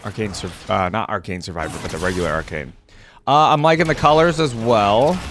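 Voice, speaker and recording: A man's voice: lowish voice, fairly bright tone. A man hosting a reaction video, chatting informally and thinking aloud as he watches. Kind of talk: casual live reaction commentary.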